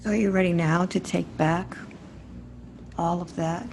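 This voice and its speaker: Woman hypnotherapist, soft mellow voice, talks real slow and soothing, guiding someone through a session. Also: lowish voice, faint music behind. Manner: soothing, gently prompting and affirming. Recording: steady noise, USB microphone.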